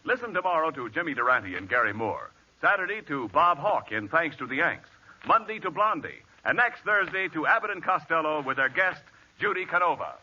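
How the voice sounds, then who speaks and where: A man's voice: fairly high pitch, sing-song, a little fast, sharp tone, husky, highs cut off. A man announcing on 1940s radio in a formal, polished, declamatory way. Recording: faintly noisy, band-limited 1940s radio recording.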